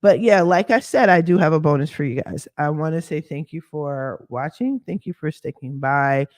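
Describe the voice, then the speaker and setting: A woman whose voice sounds hoarse and deeper than usual from a cough, running a credit repair business and talking through a casual screen-share walkthrough in a candid, slangy way with sassy asides. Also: moderate pace, darkish tone, dry recording, studio quality.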